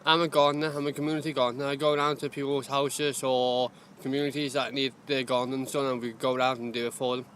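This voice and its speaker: A man works as a community gardener and speaks openly, in simple, halting phrases. His voice is of ordinary pitch for a man. Faint outdoor sounds drift behind him. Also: little pitch movement, fairly bright tone, a little hiss or hum. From Liverpool, England. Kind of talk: interview answers outdoors.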